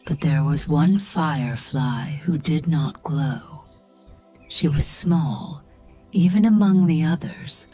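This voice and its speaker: A woman narrates in a very deep, soft and breathy voice, close to a whisper. She takes her time, gently guiding the listener as in a bedtime meditation. Faint music and chirping birds sit behind her. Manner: soothing, gentle, measured. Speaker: sleep story and meditation narrator.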